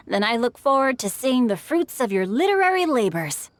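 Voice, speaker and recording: A woman's voice: sits high, big pitch swings, normal speed, heavy background noise. A woman voicing a bookish, composed young boy in a video game, with polite, eloquent phrasing. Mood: playful challenge. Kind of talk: animated video game voice acting.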